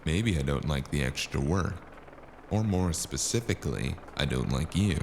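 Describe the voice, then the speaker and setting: A man acts out a cynical noir mercenary who narrates his own tale. His voice sits low with a gritty rasp of fry, and his delivery is sardonic and deadpan. A little noise sits behind him. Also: normal speed. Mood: contemptuous. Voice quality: deep and gravelly. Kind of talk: noir audio drama acting and narration.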